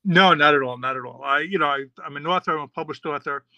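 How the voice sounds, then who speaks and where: Bright-voiced chatty middle-aged man. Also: a little high, quick pace, dry recording, lo-fi sound. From New York. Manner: chatty, rambling, many fillers and restarts. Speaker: podcast host and children's author. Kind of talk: casual interview answers.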